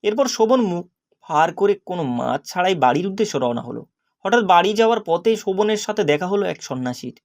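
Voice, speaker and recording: A man narrates a children's cartoon fable from a script. His voice is high for a male, and he tells it as a storyteller. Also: very animated, quick pace.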